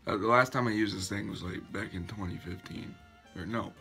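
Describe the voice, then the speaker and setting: A man's voice: on the low side, brisk, vocal fry, sharp tone. A teenage boy vlogging casually from his bedroom, self-mocking and chatty as he laughs at himself. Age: teens. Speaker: YouTuber. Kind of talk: casual reaction vlog. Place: bedroom, indoors. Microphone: built-in webcam mic at arm's length. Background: faint background music.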